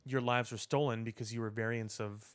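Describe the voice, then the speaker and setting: A man co-hosting a podcast, talking casually about pop culture in wordy, measured phrasing. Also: mid-range voice, steady tone, clear, resonant tone. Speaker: podcast co-host.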